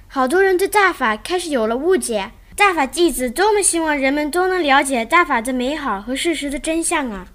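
Woman as sweet, earnest little girl, high voice, radio drama. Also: fairly bright tone. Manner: earnest, explaining eagerly.

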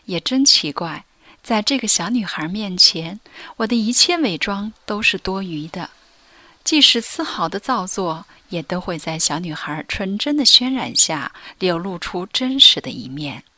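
A young woman narrating a radio drama in first person, soft and confessional, often near a whisper. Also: medium pitch, lively intonation, unhurried, dry recording. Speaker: narrator and lead. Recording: dry studio voice with faint music.

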